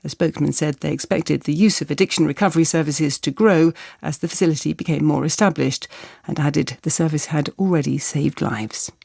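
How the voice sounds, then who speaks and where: A woman reading scripted radio news in a measured, formal manner. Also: deep voice, fairly even intonation, gravelly, mellow, slightly rough, no room sound, studio quality. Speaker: newsreader.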